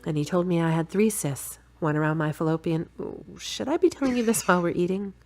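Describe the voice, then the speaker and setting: Female lead, audio drama: frank, stubborn girlfriend with a lowish voice, brisk and a little bossy acted by a woman. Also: darkish tone, lightly acted. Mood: matter-of-fact.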